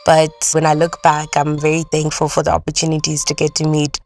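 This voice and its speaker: A woman from Malawi calmly recounting her childhood in an interview. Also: low voice, brisk, faint music behind. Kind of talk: reflective personal account.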